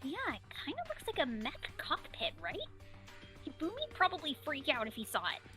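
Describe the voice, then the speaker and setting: A bubbly teenage schoolgirl from a mystery game, acted by a woman. The voice is very high and bright, with big excitable swoops. She sounds chirpy and easily spooked, over background music. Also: moderate pace, full character voice. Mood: curious, nervous. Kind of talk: amateur character dub of a visual novel.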